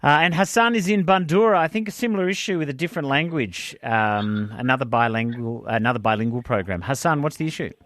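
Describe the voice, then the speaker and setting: A man hosting a talkback radio show, chatting conversationally and jumping in with quick questions. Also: medium pitch, fairly bright tone, no echo. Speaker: radio host.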